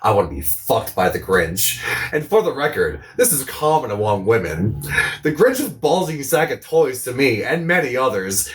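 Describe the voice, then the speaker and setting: A man's voice: mid-range voice, slight background noise. A man co-hosting a comedy podcast, casually reading a post aloud in a crude, mock-serious, sarcastic way. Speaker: podcast co-host.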